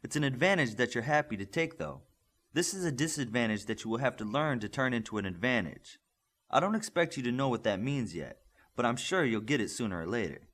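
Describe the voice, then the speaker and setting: A man's voice: medium pitch, expressive, fairly quick, fairly bright tone. A man reading the part of a blunt, seasoned superhero combat coach in an audiobook, in an instructive manner. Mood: firm, instructive. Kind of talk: audiobook character voice.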